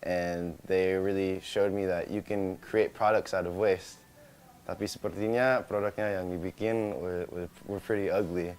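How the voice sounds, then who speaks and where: A man's voice: deep voice, fairly even intonation, normal speed, darkish tone, slight background noise. A young American man from California, founder of a small company, talking casually in an interview with many restarts and Indonesian words mixed in. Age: young adult.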